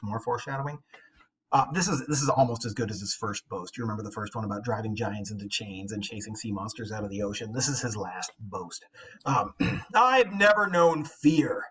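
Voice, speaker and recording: A man's voice: medium pitch, a bit bright, a little hiss or hum, rough recording. A man teaching English literature, moving between reading aloud and informal, wry commentary.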